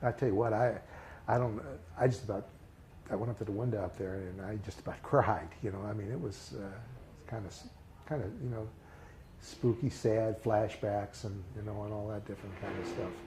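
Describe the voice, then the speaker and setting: Halting older man, soft-spoken and mellow. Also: on the low side, steady tone, slight background noise, rough recording. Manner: halting, many fillers and restarts, reflective. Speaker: interviewee. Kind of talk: recalled personal memories in a casual interview.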